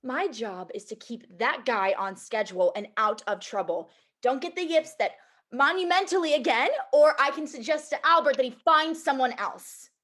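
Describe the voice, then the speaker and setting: An actress plays a sharp-tongued Hollywood assistant, a woman worn down by the job. Her voice sits fairly high. The lines come brash and wry, as in a comedy script read. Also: animated, normal speed. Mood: exasperated, scolding. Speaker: actor in a screenplay table read. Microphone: video call.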